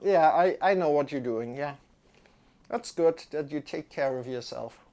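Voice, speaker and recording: A man's voice: on the high side, measured pace, a little mellow. German man chatting casually, restarting often. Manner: rambling, friendly, restarts often. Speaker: yoga teacher on a livestream. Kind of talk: casual chat with live viewers. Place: indoor room, sitting on a yoga mat.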